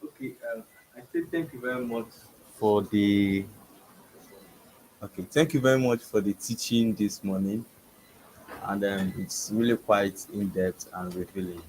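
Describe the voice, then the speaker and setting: A man's voice: fairly low pitch, a little slow, a little mellow, slight background noise, rough recording. A man in the audience politely asking the speaker a question, hesitant with fillers. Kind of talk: question from the floor.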